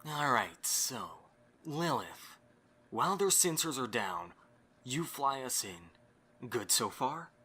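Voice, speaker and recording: A man's voice: mid-range voice, sing-song, slow, pushed and bright, husky, exaggerated voice. A man acting a courteous, scheming young host in an amateur audio drama, with a theatrically polite manner. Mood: confident, scheming.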